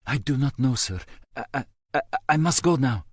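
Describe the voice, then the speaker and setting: A man's voice: mid-range voice, animated, moderate pace, husky, no room sound, studio quality, voice pushed up. A man voicing a timid, grieving young man with a thick accent, speaking hesitantly and stammering. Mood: tentative.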